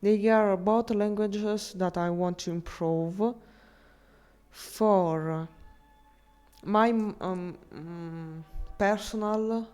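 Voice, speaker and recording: A woman on a live stream talks to her chat in unhurried, halting English, often stopping to restart a phrase or hunt for the right word. Her voice sits at an ordinary middle pitch with a soft, mellow tone. Quiet music plays underneath. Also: clear, resonant tone. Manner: halting non-native English, frequent restarts and searching for words.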